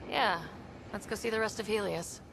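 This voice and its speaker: A woman's voice: mid-range voice, normal speed, vocal fry, background noise, lightly acted. A woman playing an earnest young space explorer and leader in a video game cutscene. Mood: curious.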